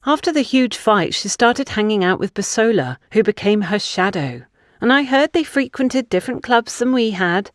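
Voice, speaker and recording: A woman's voice: a little high, lively intonation, moderate pace, dry recording, clean studio sound, pitched above the performer's own voice, natural voice. A woman voicing an insistent, self-justifying young woman in an audiobook story. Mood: defensive, pleading. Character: defensive young woman excusing herself to accusers. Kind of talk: audiobook character dialogue.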